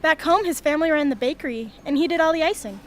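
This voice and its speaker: High-pitched, kindly girl played by a woman, faint noise. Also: quick pace, lightly acted. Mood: friendly. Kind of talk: amateur radio drama acting.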